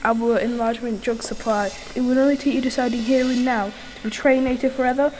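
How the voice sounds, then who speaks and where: A boastful, persuasive snake-haired villainess acted by a woman schemes her way through an amateur comic radio play. The voice sits fairly high and theatrical, with faint noise on the recording. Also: steady tone, a little fast, darkish tone, close to an everyday voice. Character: scheming snake-haired Medusa, villainous drug cartel boss.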